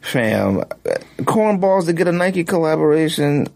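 A man co-hosting a casual podcast, animated and joking, often repeating a phrase for effect. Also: fairly high pitch, a little slow, gravelly. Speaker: podcast co-host. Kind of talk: casual sneaker podcast chat.

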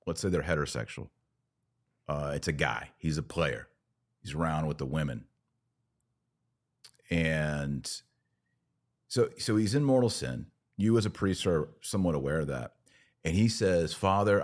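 Deep-voiced man explaining on a podcast. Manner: explanatory, emphatic, argues through examples. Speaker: podcast host. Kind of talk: conversational debate with hypothetical examples.

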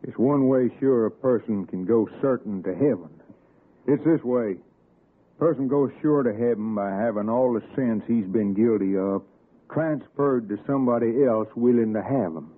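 A superstitious Appalachian hill man played by an actor, husky and middle-pitched, grave and full of quiet menace. His folksy talk comes through the narrow, tinny sound of an old radio suspense show. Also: normal speed, close to an everyday voice. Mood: grave, ominous. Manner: folksy, ominous. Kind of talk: 1960s radio suspense acting. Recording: narrow, lo-fi vintage radio recording.

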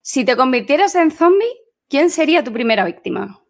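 High-voiced woman co-hosting, accent from Spain. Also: sing-song, a little fast. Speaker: podcast co-host asking questions. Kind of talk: casual podcast questioning.

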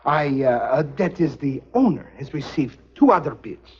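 Slippery European go-between, husky voice, animated swings, jovial, greedy, formal phrasing, 1950s spy drama. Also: male voice, medium pitch, moderate pace. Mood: sly. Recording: dull 1950s TV film soundtrack.

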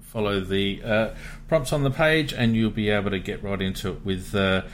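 Australian male podcast host, casual, low voice, faint background hiss. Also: moderate pace. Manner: relaxed, lots of uh fillers. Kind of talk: casual sports podcast chat.

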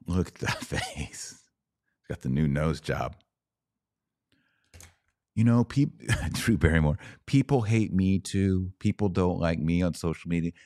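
Low-voiced American man giving sarcastic commentary. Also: animated, slow, long pauses, darkish tone, no room sound, clean studio sound. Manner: emphatic, sarcastic, mimics opponents. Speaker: podcast host and commentator. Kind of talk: opinionated reaction commentary.

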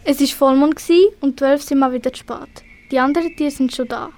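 A woman's voice: high voice, brisk, a little mellow, faint music behind. A woman with a Swiss German accent narrating a scripted children's story for an amateur radio play.

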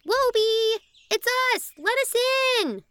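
A woman playing a curious, imaginative young girl in a family audio drama, teasing and excitable. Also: very high-pitched, slow, no echo, heavily acted. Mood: excited, alarmed.

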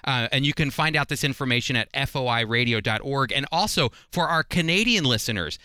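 A man's voice: medium pitch, lively intonation, a little fast, bright, forceful tone, clear, resonant tone, dry recording, studio quality. A man speaking as a radio host and teacher, giving announcements in an informal, inviting, conversational way.

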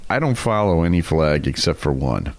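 Low-voiced, animated male podcast host. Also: normal speed, dry recording. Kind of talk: casual chat and storytelling.

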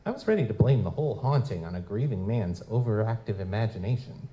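An actor playing a world-weary, cynical private investigator in a live noir audio drama, wry and slangy in manner. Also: male voice, fairly low pitch, expressive, quick pace, mellow, dull audio, close to an everyday voice. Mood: brooding, wry. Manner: wry, slangy, hard-boiled. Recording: live convention performance, clear background noise.

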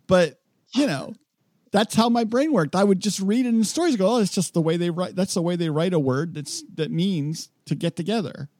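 A man's voice: high voice, brisk, darkish tone, no room sound, clean studio sound. A man hosting a podcast, chatting casually in a conversational, self-deprecating way. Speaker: podcast host. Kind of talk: casual interview chat.

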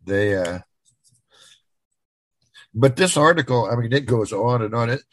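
A man from Florida co-hosting a casual talk show, retelling a news article in a halting, rambling way. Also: mid-range voice, animated, no echo. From Florida, United States.

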